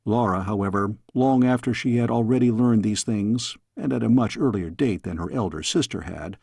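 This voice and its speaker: A man reading a story as an audiobook narrator, in measured, expressive storytelling style. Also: fairly low pitch, creaky, breathy edge, dry recording, clean studio sound.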